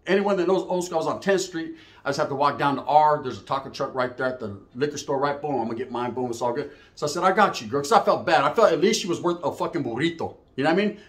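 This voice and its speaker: A middle-aged man with a Chicano English accent and a slightly high voice spins street stories. He talks casually and profanely, drops in bits of Spanish, and acts out each side of the conversations. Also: fast. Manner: slangy, profane, Spanish phrases mixed in, checks in with "right?". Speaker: storyteller. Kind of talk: casual street storytelling, acting out dialogue.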